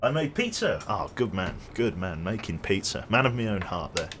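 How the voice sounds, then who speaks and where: A man's voice: lowish voice, big pitch swings, normal speed, fairly bright tone, slight background noise. A young man streaming a game, rambling casually and chatting with his viewers. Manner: rambling, chatty, reads chat aloud and jokes. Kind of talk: casual live-stream chat while gaming.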